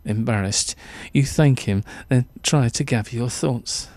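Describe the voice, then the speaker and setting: A male narrator reads short fiction in a theatrical, suspenseful way. His pitch is middling for a man. The recording is dry and close, with very little room sound. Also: a little slow, a little mellow, no echo. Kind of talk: dramatic second-person short story narration.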